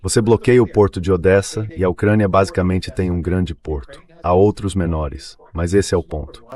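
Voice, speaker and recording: A man's voice: low-pitched, fairly even intonation, moderate pace, darkish tone. A man with a Brazilian accent in a translated voice-over of political analysis, speaking as a commentator. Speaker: guest commentator.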